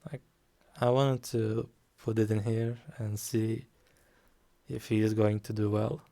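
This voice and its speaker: Male streamer, calm and unhurried, dark gravelly tone, desk mic at home. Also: on the low side. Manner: slow, calm, soft-spoken with frequent ums. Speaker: guest streamer. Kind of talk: casual game stream commentary.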